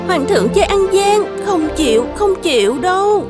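A woman's voice: extremely high pitch, expressive, moderate pace, over loud music. A woman voicing a coquettish, playful young imperial concubine in a historical cartoon. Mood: coy, playful. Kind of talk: dubbed historical cartoon acting.

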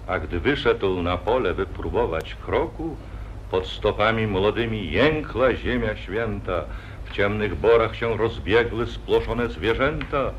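A man's voice: fairly low pitch, a little hiss or hum. A man narrating an old epic in solemn verse, in 1940s radio acting style. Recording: dull lo-fi 1948 radio recording.

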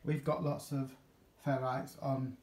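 A man's voice: medium pitch, little pitch movement, slow pace, darkish tone. A man giving a casual, unscripted demonstration in a hesitant, rambling way, correcting himself as he goes, recorded on a handheld phone in a home kitchen. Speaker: amateur radio hobbyist vlogger. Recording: echoey room, handheld camera.

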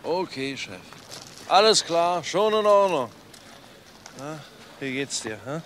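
An actor playing a streetwise, chummy convict who placates and probes in a German crime radio play. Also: male voice, fairly high pitch, sing-song, takes it slowly, a bit bright, exaggerated voice. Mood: placating. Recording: clear outdoor background noise.

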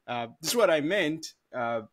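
A man's voice: fairly high pitch, lively intonation, normal speed, a bit bright. Rambling, laughing man on a headset call. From Africa. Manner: rambling, self-correcting, laughs often at himself. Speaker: podcast co-host. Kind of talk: casual conversational storytelling. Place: small room at home. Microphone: over-ear headset on a video call.

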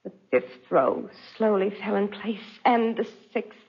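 An anguished wife in an old radio melodrama, haunted and pleading. Her voice swings widely in pitch and is very breathy, with slow, drawn-out delivery. The 1940s recording is dull and muffled. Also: animated. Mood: haunted recounting. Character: anguished, terrified wife haunted by guilt and horror. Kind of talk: 1940s radio drama acting.

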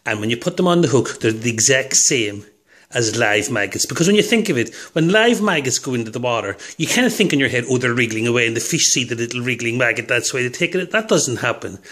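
A man's voice: mid-range voice, lively intonation, fast talker, fairly bright tone. A man casually explaining fishing tips at home while filming his hands on a phone, rambling and chuckling at his own jokes. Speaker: fishing video host. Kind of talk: casual how-to talk to camera.

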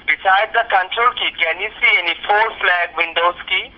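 A man's voice: high voice, fairly quick, slight background noise. A man working as a call center tech support caller, giving insistent scripted instructions and saying sir, heard through a phone speaker. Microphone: phone speaker recorded by a nearby camera. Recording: dull speakerphone call picked up by camera.

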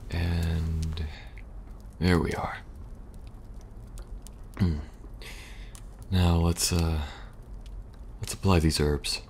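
A man playing a weary, protective young survivor agent in an ASMR audio roleplay, speaking softly and reassuringly, a little hesitant. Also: sits low, level tone, very slow, long pauses. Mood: gentle, caring. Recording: faint background noise and ambience.

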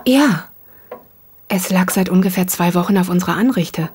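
An actress playing a reserved, polite woman of a country household in a German audio drama, terse and husky. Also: fairly low pitch, normal speed, darkish tone, slight background noise, lightly acted. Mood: guarded, polite.

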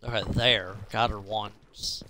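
A man's voice: lowish voice, fairly even intonation, takes it slowly, noisy background. A man giving casual gameplay commentary, muttering to himself as he thinks aloud. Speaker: gamer hosting a roleplay video series.

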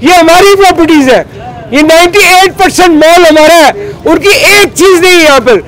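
A man's voice: very high voice, moderate pace, fairly bright tone, a faint crowd, rough recording. A middle-aged man, a market trader leader pleading in an agitated street interview into a handheld mic. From Pakistan. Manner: agitated, pleading, gesturing hard. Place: outdoors on a busy city street. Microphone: handheld reporter mic.

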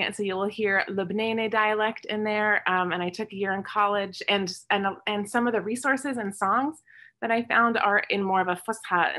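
A woman's voice: mid-range voice, fairly bright tone. Cheerful woman teaching kids on webcam. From born and raised in English-speaking countries, Palestinian roots. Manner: cheerful and encouraging, repeats words slowly for kids to follow. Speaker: children's storytime host and Arabic teacher. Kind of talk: teaching numbers to young children over a video stream. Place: home room with shelves of toys and books. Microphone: webcam at close range, video call.

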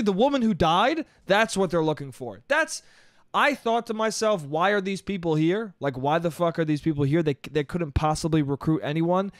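Solo podcaster, young man, slightly high voice, congested, loose profane rambling, dry close mic in a small room. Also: dry recording. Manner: loose, profane, rambling, laughs at own jokes. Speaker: podcast host. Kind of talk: casual solo podcast monologue. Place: small bedroom studio. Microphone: boom-arm studio mic up close.

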